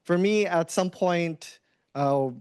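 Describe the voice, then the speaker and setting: A man raised in the Philippines who speaks with an American accent, giving a casual presentation to students, halting and full of fillers, often checking in with "right?". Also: high voice, darkish tone, smooth, dry recording, studio quality.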